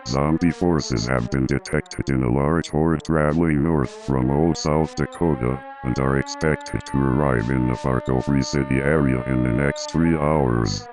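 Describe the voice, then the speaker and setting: A man reading a scripted civil emergency announcement in a formal, measured manner. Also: extremely low pitch. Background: music.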